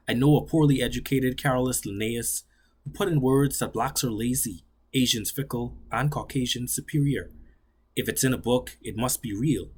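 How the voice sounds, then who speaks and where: A young man from the Bahamas, reading his own poem aloud in a measured, solemn way that slows and sinks on the closing lines. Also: mid-range voice, breathy edge. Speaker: graduate student poet. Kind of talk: spoken-word poetry reading.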